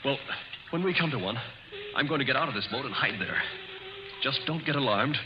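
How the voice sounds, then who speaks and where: An actor playing a nervous, hunted young man, halting and hurried, in an old-time radio drama. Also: mid-range voice, animated, noisy background, close to an everyday voice. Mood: desperate. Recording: dull old radio recording, music behind.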